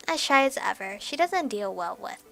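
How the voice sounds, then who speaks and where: A woman reading a visual novel aloud as expressive narration, with animated reactions and some stumbling over words. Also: high voice, measured pace, vocal fry, no room sound. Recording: background music behind voice. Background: game music.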